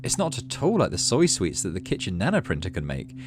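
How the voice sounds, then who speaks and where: A man narrates a video game story for his channel in a lowish voice. His intonation is hugely varied, moving between expressive storytelling and offhand comments, with faint noise in the background. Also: brisk. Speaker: gaming channel host. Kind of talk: game playthrough, narrating story text aloud.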